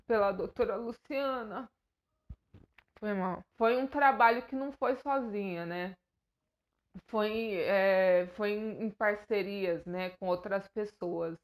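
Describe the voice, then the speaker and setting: A young Brazilian woman with a Brazilian Portuguese accent, talking straight to her viewers in an earnest, hesitant way, with many fillers and restarts. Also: mid-range voice. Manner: earnest, emotional. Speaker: YouTuber. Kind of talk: personal vlog to camera.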